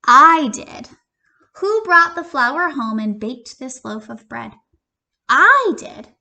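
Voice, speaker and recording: Storybook hen, played by a woman, small, hardworking and indignant. High, bright, emphatic delivery with stretched words. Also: sing-song, takes it slowly, vocal fry, exaggerated voice. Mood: indignant, proud. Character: industrious little red hen, firm and indignant.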